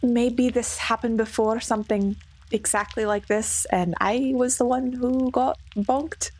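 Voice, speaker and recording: A woman's voice: fairly high pitch, fairly even intonation, measured pace, darkish tone. A woman playing a tabletop game, tentatively piecing thoughts together in improvised roleplay. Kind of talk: in-character improvised roleplay talk.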